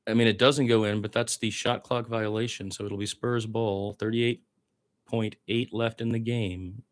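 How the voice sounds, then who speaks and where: A man's voice: lowish voice, level tone, normal speed. A man doing live radio-style basketball play-by-play as a partisan fan, with exasperated asides about his team.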